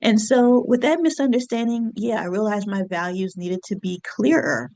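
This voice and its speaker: A woman in her thirties, an astrologer and business coach, talking reflectively to her viewers with easy fillers and soft laughs. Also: medium pitch, normal speed. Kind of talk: casual solo talk to camera.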